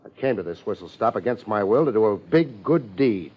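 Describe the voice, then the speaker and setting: A man's voice: on the low side, expressive, a little fast, husky. A man playing a wry, laid-back but tough private detective in 1950s radio drama, speaking in wisecracking slang. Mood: tough, pressing. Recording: muffled old radio recording, music behind.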